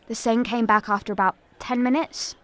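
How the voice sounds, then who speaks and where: A woman narrates an audio drama in the first person. Her voice is on the high side, and her scripted delivery is solemn and measured. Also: fairly even intonation, a bit bright.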